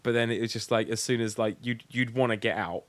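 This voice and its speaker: A man's voice: medium pitch, fairly even intonation, fast talker, no room sound. A British man co-hosting a podcast with casual, sweary, rambling comic banter, sounding tired and tipsy. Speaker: podcast co-host.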